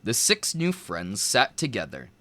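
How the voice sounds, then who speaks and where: A man reading a children's chapter book aloud in an expressive storytelling way. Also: lowish voice, measured pace. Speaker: read-aloud narrator.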